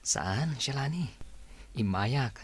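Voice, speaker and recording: A man's voice: mid-range voice, lively intonation, slow pace, breathy edge, no room sound, full character voice. A man acting a friendly, persuasive male stranger in an Ilocano radio drama, with an Ilocano accent and a courteous manner. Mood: courting, polite. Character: Edgar, smooth, friendly male stranger recruiting for a secret group.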